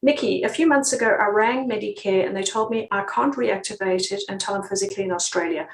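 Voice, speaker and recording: A woman's voice: medium pitch, quick pace, slight background noise. Brief: British woman, relocation consultant, easygoing live-stream chat, echoey room. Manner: chatty, many ums, laughs easily. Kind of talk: live-stream advice reading viewer comments.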